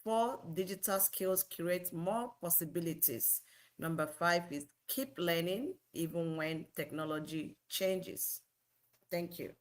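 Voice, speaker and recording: Nigerian-born woman on a video call, lowish voice, earnest, reading out points. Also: slow. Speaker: co-presenter. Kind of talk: reading points on a live online talk.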